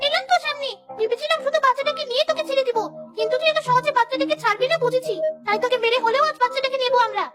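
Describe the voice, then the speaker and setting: Cartoon villain: hungry old she-ghost, extremely high and shrill, sneering, rapid delivery. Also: over music. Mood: menacing. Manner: shrill, mocking. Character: greedy, sneering older she-ghost who craves human babies. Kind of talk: Bengali cartoon voice acting.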